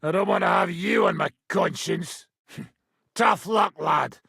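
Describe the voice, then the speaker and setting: An actor playing a brash, hard-drinking medieval fighter and combat trainer in a video game, gruff and taunting, with a raspy, gravelly voice. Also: male voice, sits high, slow, sharp tone, dry recording, clean studio sound, heavily acted.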